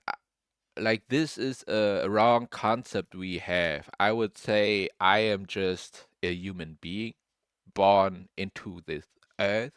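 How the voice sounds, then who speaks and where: A man's voice: sits low, a bit bright, clean tone, no echo, studio quality. A young man from Germany talking casually to camera in a loose, rambling way, peppering his talk with bro. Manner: slangy, loose, says bro often. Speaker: vlogger.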